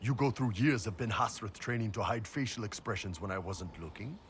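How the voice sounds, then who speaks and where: A man playing a gruff, low-voiced mercenary warrior. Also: expressive, fairly quick, slight background noise, close to an everyday voice. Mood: wry. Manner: blunt, wry, swearing soldier. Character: big gruff horned mercenary warrior, wry and loyal. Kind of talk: video game cutscene dialogue.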